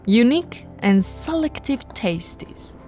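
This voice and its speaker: A woman voicing a possessive, seductive, haughty vampire noblewoman in an intimate roleplay, with theatrical drawn-out words and breathy whispers. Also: a little high, sing-song, dark tone, faint music behind. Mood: haughty, elegant. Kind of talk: intimate ASMR audio roleplay.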